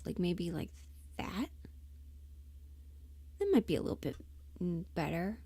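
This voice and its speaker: A woman's voice: fairly low pitch, lively intonation, a little slow, mellow, breathy edge, no echo. A woman chatting casually on a livestream, informal and rambling, muttering asides to herself as she thinks aloud. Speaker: livestream host and amateur voice performer.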